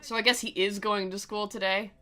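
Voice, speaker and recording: Sarcastic, bright-voiced woman doing game commentary. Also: medium pitch, little pitch movement, a little fast. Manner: sarcastic, playful, mocking. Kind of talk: sarcastic commentary and dramatic reading of a visual novel. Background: faint music.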